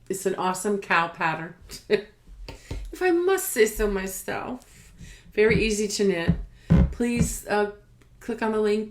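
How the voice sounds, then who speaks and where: A woman hosting a casual craft podcast, rambling haltingly and sometimes trailing off as if talking to herself. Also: lowish voice. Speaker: podcast host showing knitting and spinning.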